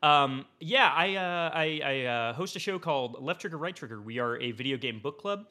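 A man is a guest on a casual podcast. His voice sits a little high and is bright, swinging freely up and down as he talks. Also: expressive, moderate pace.

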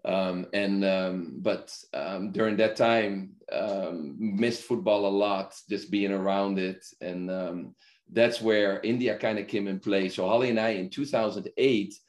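A middle-aged man from the Netherlands, a podcast guest, telling his story in a relaxed, rambling way full of fillers and restarts. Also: deep voice, a little slow. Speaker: podcast guest, football club founder. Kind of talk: casual conversational storytelling.

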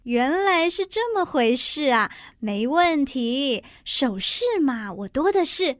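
A woman's voice: high voice, sing-song, takes it slowly, no echo, studio quality, exaggerated voice. A woman acting in a radio drama as a wealthy, generous, breezy lady with a showy manner.

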